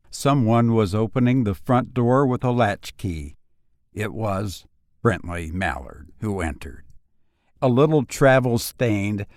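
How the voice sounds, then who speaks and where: A man narrating a literary story from a script, with measured, dramatic phrasing. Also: medium pitch, a little mellow, no echo, clean studio sound. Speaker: audiobook narrator.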